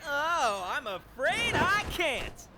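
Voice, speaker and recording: A man's voice: extremely high pitch, very animated, takes it slowly, heavy background noise, exaggerated voice. A man acting a goofy, boastful teenage schoolboy in an anime fandub, smug and hammy.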